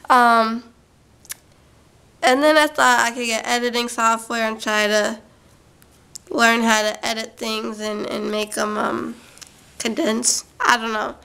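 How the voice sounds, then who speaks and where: Chatty young woman with a creaky, bright voice. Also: fairly high pitch, little pitch movement, slow pace, long pauses. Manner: chatty, goes off on tangents, many fillers. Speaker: vlogger. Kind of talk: rambling casual monologue to camera. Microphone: camera mic.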